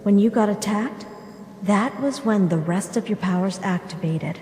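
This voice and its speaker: A woman voicing a gentle, wistful monster mother back from death, speaking tenderly and reflectively in a dramatic comic dub. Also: mid-range voice, moderate pace, a little hiss or hum, lightly acted. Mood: gentle explaining. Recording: reverberant hall echo, music behind.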